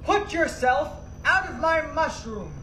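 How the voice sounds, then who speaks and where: A man's voice: very high-pitched, expressive, unhurried, slight background noise, pitched above the performer's own voice, exaggerated voice. A man voicing a paranoid, anxious, raging gnome inventor in a storybook, with a crazed and defiant manner. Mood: crazed rage. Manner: crazed, defiant, shouting outbursts.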